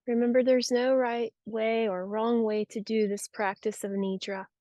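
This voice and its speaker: A woman guiding a yoga nidra relaxation practice with soothing, unhurried, gentle instruction. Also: fairly high pitch, flat delivery, no room sound, muffled recording. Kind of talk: guided relaxation meditation.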